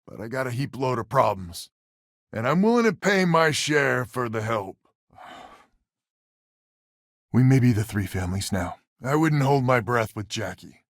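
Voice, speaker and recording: A man's voice: mid-range voice, lively intonation, unhurried, dry recording, studio quality. A man voicing a weary, stubborn space settler leader in a video game, plain-spoken and sardonic with a folksy manner. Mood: wry. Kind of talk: video game character dialogue.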